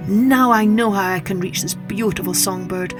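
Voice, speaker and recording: A woman's voice: medium pitch, moderate pace, over music, natural voice. Woman playing an ardent young storybook prince. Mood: determined. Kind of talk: children's fairy tale storytelling.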